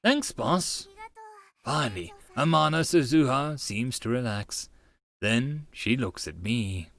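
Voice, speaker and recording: A man's voice: mid-range voice, animated, a little slow, creaky, a bit bright. A man reading scripted first-person story narration as an audiobook narrator, in a dramatized style.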